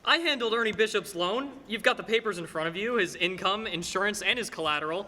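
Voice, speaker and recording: An earnest young small-town man, played by a young actor in a radio play. He speaks haltingly, with folksy stammers, in a high and very sharp, forceful voice. Long hall reverb surrounds him. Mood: indignant, impassioned. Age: young adult. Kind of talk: filmed radio play acting.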